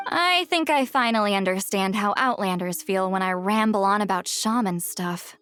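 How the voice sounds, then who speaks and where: Brief: woman plays a sincere young shaman girl, mid-pitched. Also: normal speed, fairly bright tone, soft background music, natural voice.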